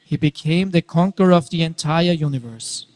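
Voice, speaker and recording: A man's voice: on the high side, normal speed, a little mellow. A man narrating a scripted devotional story as part of a dramatic reading. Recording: hall with long reverb.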